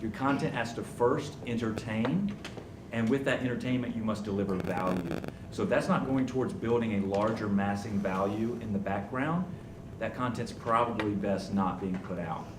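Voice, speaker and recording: A young man speaking on a conference panel in a confident, punchy, joking way. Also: fairly low pitch. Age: young adult. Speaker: panelist, communications officer. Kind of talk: panel discussion talk. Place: conference room before a live audience. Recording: echoey room, distant mic, noisy.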